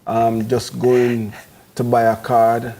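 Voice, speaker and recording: A man's voice: mid-range voice, takes it slowly, a loud crowd. A middle-aged man with a Jamaican accent co-hosting a morning show, making dry jokes and dodging questions in casual banter. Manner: joking, deadpan deflections.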